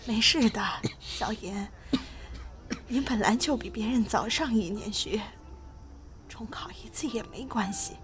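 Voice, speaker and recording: A woman acting a gentle, soothing middle-aged mother in a Chinese audio drama. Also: a little high, a little slow, long pauses, natural voice. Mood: comforting.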